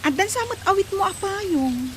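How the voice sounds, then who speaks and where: An actress with an Ilocano accent playing a sweet, playful young village sweetheart in a radio drama. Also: female voice, very high voice, lively intonation, moderate pace, slight background noise. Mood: affectionate.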